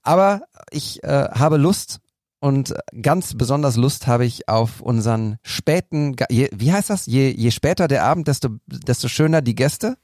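A man's voice: mid-range voice, expressive, normal speed, darkish tone, dry recording, clean studio sound. A man hosting a podcast, chatty and a bit jokey, sounding a little tired late in the evening. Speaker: podcast host.

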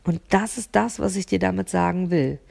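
Low, creaky-voiced woman podcasting. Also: moderate pace, a little mellow. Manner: hesitant, searching for words, repeating words for emphasis. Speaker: podcast host. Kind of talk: unscripted solo podcast monologue addressed to the listener.

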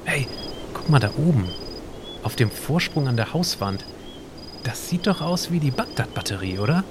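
A man's voice: medium pitch, big pitch swings, moderate pace, noisy background, natural voice. A man playing a curious, sceptical time traveller in an amateur audio drama, casual and questioning. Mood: intrigued.

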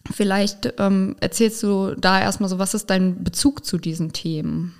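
A woman's voice: medium pitch, little pitch movement, moderate pace, gravelly. A woman hosting a political podcast, asking questions in a chatty way with many fillers. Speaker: podcast host. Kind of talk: casual interview hosting.

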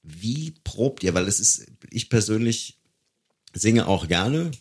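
A man's voice: lowish voice, expressive, slow, slightly rough. A man hosting a casual interview, feeling his way through questions and rephrasing as he goes. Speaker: interviewer, podcast host.

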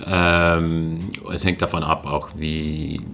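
Deep gravelly man. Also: little pitch movement, slow, fairly bright tone, slight background noise. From Netherlands. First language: Dutch. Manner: hesitant, many fillers and restarts. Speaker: guest, linguistics lecturer. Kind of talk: casual interview answers.

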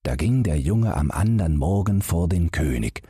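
A man's voice: deep voice, animated, a little slow, warm tone, slightly rough, dry recording, clean studio sound. A man narrating a fairy tale expressively as an audiobook storyteller.

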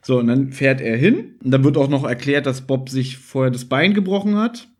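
A man's voice: mid-range voice, normal speed. A man chatting casually as a podcast host, explaining things at length and often tagging on questions. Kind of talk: casual chat about audio dramas.

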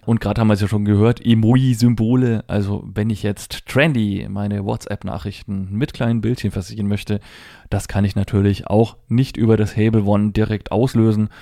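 Middle-aged man, relaxed dark timbre, very dry sound, conversational tutorial, methodical spelling and explaining. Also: on the low side, gravelly, no room sound. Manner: spells out letters and dot numbers step by step. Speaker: presenter of a tech tutorial for Braille users. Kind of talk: explanatory product demonstration, conversational.

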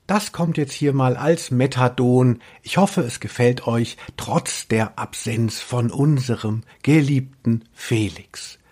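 Playful man, husky podcast voice. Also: mid-range voice, slow pace, hardly any pauses, darkish tone. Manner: playful, tongue-in-cheek. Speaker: podcast co-host. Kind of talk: casual comedic podcast chat.

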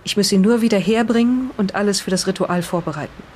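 A woman's voice: medium pitch, fast talker, a little mellow, lightly acted. A woman playing an uneasy 1920s witness to occult events in an audio drama, with faint noise behind. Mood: solemn, ominous. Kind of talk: in-character audio drama retelling.

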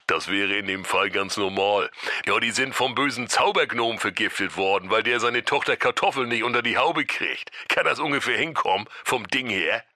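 Man acting a bright, forceful fairytale hotline agent. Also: on the low side, moderate pace, dry recording, studio quality. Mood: matter-of-fact. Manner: chatty salesman patter, deadpan officialese. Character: breezy male fairytale hotline agent, bureaucratic and unbothered. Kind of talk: comic audio fairytale.

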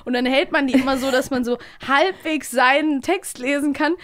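A woman with a fairly high voice hosts a podcast. She talks in a casual, conversational style. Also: fairly even intonation, moderate pace, fairly bright tone. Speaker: podcast host.

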